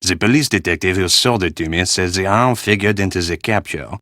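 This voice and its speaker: A man's voice: sits low, brisk, bright, forceful tone, no room sound, clean studio sound. A man playing a fussy, haggling French curio dealer in a video game, with a French accent and a theatrical, courtly salesman's manner. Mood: salesman-like, coaxing. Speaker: shopkeeper. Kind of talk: video game character acting.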